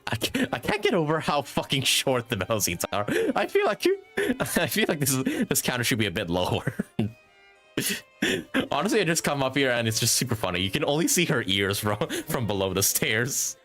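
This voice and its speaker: A man's voice: mid-range voice, huge pitch swings, moderate pace, a bit bright. A man live streaming a game with informal, chuckling commentary and some swearing. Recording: game music behind.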